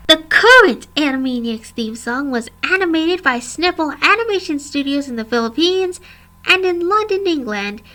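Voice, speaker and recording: A woman's voice: sits high, bright, forceful tone, low-quality recording. A woman in her twenties, a vlogger reading a scripted history and reciting long lists of names and dates. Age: 20s.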